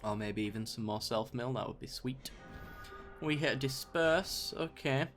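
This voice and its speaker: A young man with a slightly croaky voice from a sore throat, casually commentating a digital card game while thinking aloud. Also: medium pitch, normal speed, a bit bright, faint music behind. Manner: thinks aloud, mild self-talk, bursts of mock outrage. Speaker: gameplay commentator. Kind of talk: casual let's-play commentary.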